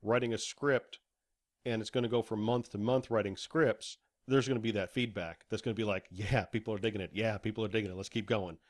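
A man talks as an interview guest in a somewhat low voice. He is enthusiastic and wordy, chatting casually at length. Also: steady tone, brisk.

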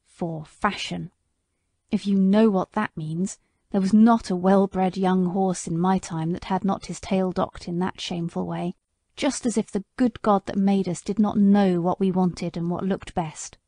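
A woman voicing a bitter, indignant old male horse in an audiobook, speaking slowly in a low, grave way. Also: little pitch movement, dark tone, no room sound, lightly acted. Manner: slow, low, grave delivery. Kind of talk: audiobook character voice.

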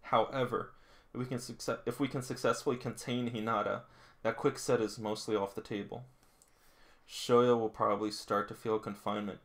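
A man reading a manga aloud with casual commentary, sometimes tripping over words and going back over them. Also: lowish voice. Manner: stumbles and rereads lines, chuckles at the story. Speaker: manga read-along host. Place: small indoor room with figurines.

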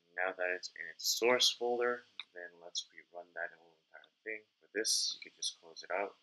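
Deep-voiced young man walking through code. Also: normal speed, low-quality recording. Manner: talks through steps while typing, relaxed and informal. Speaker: coding tutorial instructor. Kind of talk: casual screen-recorded coding tutorial. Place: indoors at a desk, small room.

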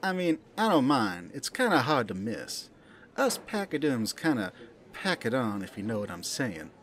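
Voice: mid-range voice, big pitch swings, moderate pace, fairly bright tone, slight background noise. An amateur actor voicing a flirty, motherly pink balloon elephant who serves at a soda bar, with a folksy, coaxing manner in an audio play. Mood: flirty, playful. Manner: folksy, coaxing, calls listener sweetie and dear. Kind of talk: amateur audio play, second-person roleplay monologue.

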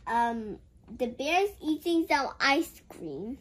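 A woman's voice: high voice, big pitch swings, slow pace, rough recording. A female host reading a picture book aloud for children, adding playful comments in a childlike, exclamatory, simple sing-song manner.

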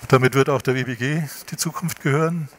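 Man, 50s, measured acceptance speech at a lectern. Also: mid-range voice, steady tone, fairly bright tone. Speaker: company executive accepting an award. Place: wood-panelled hall, indoors.

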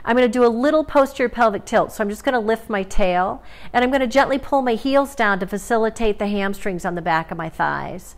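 A woman in her forties, a movement therapist, calmly talking a viewer through breathing and core exercises in her home studio. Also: on the high side, brisk, few pauses. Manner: calm, instructive, guiding breath cues. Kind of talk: exercise demonstration.

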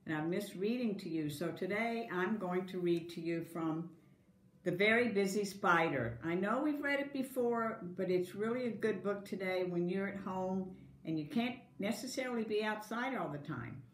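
An older woman reading a picture book aloud to young children in an animated storytelling manner. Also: medium pitch, moderate pace. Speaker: volunteer story reader. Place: dining room at home, indoors. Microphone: camera across the table. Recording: roomy home camera sound.

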